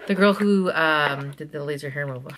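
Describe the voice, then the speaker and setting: A woman in her thirties chatting excitedly to camera while doing her makeup in a small bedroom, drifting into soft asides. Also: deep voice, brisk, low-quality recording. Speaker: vlogger. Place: small bedroom at home, indoors. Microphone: camera close in front of her.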